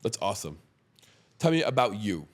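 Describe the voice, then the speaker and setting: A man's voice: fairly low pitch, very animated, brisk. A man hosting a podcast, chatting casually and affirming his guest. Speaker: podcast host. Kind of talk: casual interview chat.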